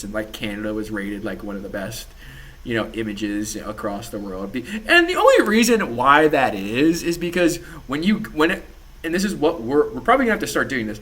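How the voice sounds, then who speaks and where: A young man hosting a casual podcast, reacting to a debate with loose, profane rambling. His voice is bright and his pitch swings widely. He laughs often and drifts off mid-sentence. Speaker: podcast host.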